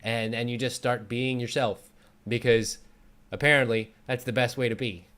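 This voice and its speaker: A man's voice: mid-range voice, fairly even intonation, moderate pace, fairly bright tone, clear, resonant tone. A man hosting a casual conversational interview, giving encouraging advice in an informal way. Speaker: talk show host.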